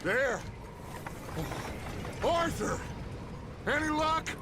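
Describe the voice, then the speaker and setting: A man's voice: very high voice, animated, drawn-out words, background noise, full character voice. A man playing a tense outlaw in a game cutscene, calling out in a raised voice. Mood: urgent. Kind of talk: video game cutscene acting.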